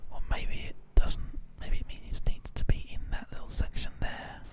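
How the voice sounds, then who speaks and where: Male ASMR gaming host, whispered delivery, gentle rambling explanations. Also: moderate pace. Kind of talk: whispered ASMR game commentary.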